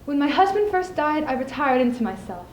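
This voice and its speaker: High-pitched theatrical widow, played by a young woman. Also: sing-song, a little mellow. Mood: reflective, wistful. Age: young adult. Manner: emphatic, theatrical, with deliberate pauses. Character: spirited, scheming widow, feisty and reflective. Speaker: student speech team performer. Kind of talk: theatrical dramatic monologue on stage. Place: indoor school showcase stage. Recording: echoey room, clearly audible background noise.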